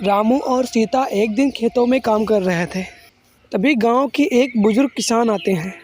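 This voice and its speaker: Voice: mid-range voice, normal speed, a little mellow, a little hiss or hum. A narrator reading a scripted children's story.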